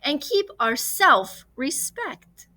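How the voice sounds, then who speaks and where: A woman's voice: high-pitched, very animated, very slow, pushed and bright, no echo, voice pushed up, full character voice. A woman voicing a curious, cheerful, spirited little girl with a perky manner in a children's storybook.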